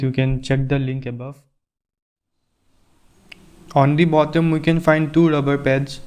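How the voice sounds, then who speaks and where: A man presents a scripted product review through an earphone mic. His voice is smooth and clear, with an average pitch that stays quite level as he explains things plainly, step by step. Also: a little mellow. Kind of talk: scripted unboxing and review narration. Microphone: earphone microphone.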